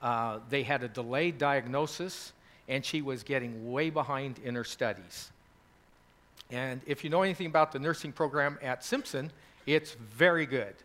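A man's voice: mid-range voice, moderate pace, a bit bright. A man hosting a college gathering, telling stories to a group in a chatty, rambling way with many fillers. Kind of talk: casual address to a group.

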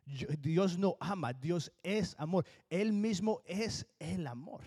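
A Colombian-accented man delivers a sermon with earnest emphasis. His voice sits a little high for a man. He slows right down to lean on the key words. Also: a little mellow, breathy edge. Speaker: pastor.

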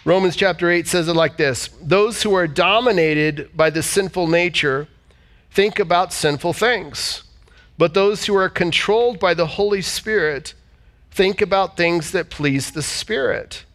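High-voiced man preaching a sermon. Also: unhurried, a bit bright. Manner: preaching with call-and-response and scripture reading. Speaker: pastor. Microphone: church service streamed online.

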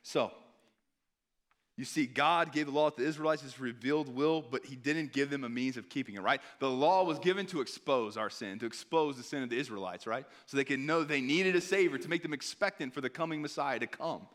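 A hoarse man preaching a sermon in an earnest tone, pausing to read scripture aloud. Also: medium pitch, a bit bright. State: hoarse, clearing throat.

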